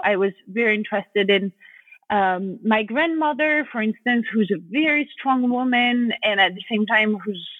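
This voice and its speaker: A woman's voice: on the high side, dry recording. Woman, interview guest, searching for words, tinny phone-like line, dry. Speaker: guest author, philosopher. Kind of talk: conversational interview answers.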